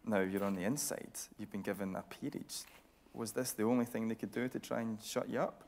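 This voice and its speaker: A man's voice: on the low side, fairly even intonation, brisk, gravelly, darkish tone, a little hiss or hum. A man hosting a live on-stage interview, putting probing questions to a guest. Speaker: interviewer and event host. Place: radio theatre with a seated audience.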